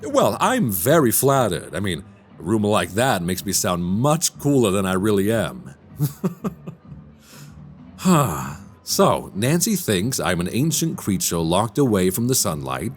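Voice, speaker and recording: A man's voice: fairly low pitch, wildly swooping pitch, a little slow, a little hiss or hum. A man playing an affable, self-deprecating bachelor writer in a radio drama, with a wry, theatrical way of talking. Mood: amused.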